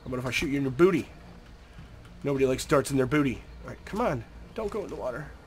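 A young man talks through a video game in a relaxed, chatty way, his voice stuffy and nasal from a cold. He sits at an ordinary middle pitch and coaxes the creatures on screen as he plays. A faint noise floor hums behind him. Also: fast talker. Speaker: gameplay commentator. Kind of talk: casual gameplay commentary.

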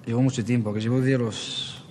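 A young man from Morocco in his twenties, an inmate, speaks quickly and casually. His voice carries a long reverb with faint background noise. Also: mid-range voice, fairly even intonation, a little mellow. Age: 20s.

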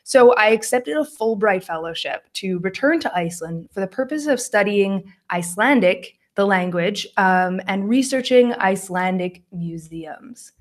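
A woman's voice: medium pitch, animated, normal speed, hardly any pauses. A young American woman presenting a talk over slides, friendly, with frequent ums and small laughs. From United States. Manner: friendly, laughs often, fillers like um. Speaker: conference speaker.

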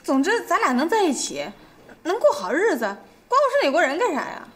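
An actress playing a direct, assertive young wife in a TV drama. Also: female voice, very high-pitched, sing-song, brisk, a bit bright, heavily acted. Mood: defiant, coaxing. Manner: direct, colloquial. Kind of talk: naturalistic television drama dialogue. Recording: faint background noise.

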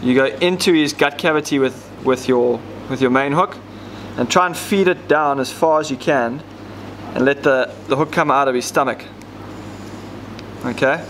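A man's voice: mid-range voice, normal speed, a bit bright, slight background noise. A man, casually explaining step by step how to rig fishing gear, with plenty of ums. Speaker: fishing tackle demonstrator. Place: indoor tackle shop counter.

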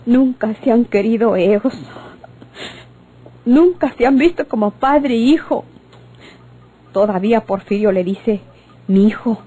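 An actress playing a plainspoken, disapproving Mexican woman with a husky voice in a radio drama. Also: on the high side, a little slow, pauses often, mellow, poor audio.

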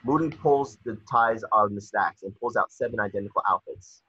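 A man with a husky voice reading out stage directions as scripted narration at a table read on a video call. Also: lowish voice, normal speed, pushed and bright. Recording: muffled, dry video call audio.

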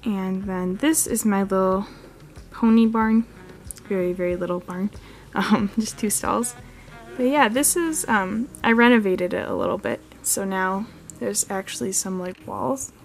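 A woman's voice: medium pitch, lively intonation, measured pace, creaky. Woman hosting a casual tour, lots of likes and ums, close handheld cam, faint music under. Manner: chatty, filler-heavy, frequent "like" and "um". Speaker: model horse channel host. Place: carpeted room indoors. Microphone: handheld camera filming close.

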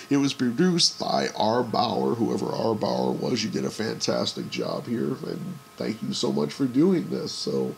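Hoarse male podcast host with a low, gravelly voice. Enthusiastic and a little rambling. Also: a little hiss or hum. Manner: enthusiastic collector, rambling with restarts. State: hoarse from a cold. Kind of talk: casual solo podcast talk.

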